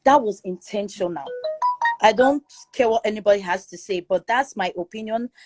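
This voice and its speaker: A woman's voice: medium pitch, moderate pace, a little mellow, no echo, faint music behind. Emphatic Nigerian woman, dry close sound. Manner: emphatic, repeats points for stress. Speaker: guest speaker in a live audio chat room. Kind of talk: opinionated casual commentary.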